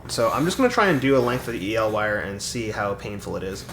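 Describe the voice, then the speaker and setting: A man with a raspy voice chatting casually on a live stream, rambling through explanations with lots of fillers and restarts. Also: fairly low pitch, very animated, fast talker, a bit bright. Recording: echoey room with background noise.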